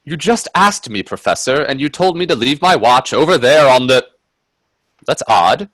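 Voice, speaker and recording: An actor playing a nervously polite, incredulous gentleman guest in a radio play, stammering and deferential. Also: medium pitch, big pitch swings, moderate pace, dry recording, rough recording. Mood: nervous, puzzled. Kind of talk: radio play acting with narration.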